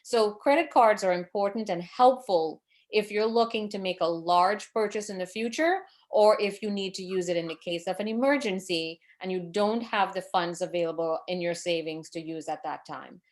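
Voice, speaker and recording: A woman's voice: medium pitch, normal speed. A woman from Trinidad in her forties, presenting a financial education webinar over a video call, earnest and advising, with fillers and self-corrections.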